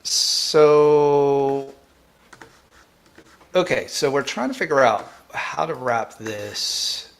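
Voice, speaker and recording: A man hosting a live coding tutorial, thinking aloud in a halting, informal way and often restarting his sentences. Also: mid-range voice, fairly bright tone. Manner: halting, self-interrupting, informal. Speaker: livestream host, programming instructor.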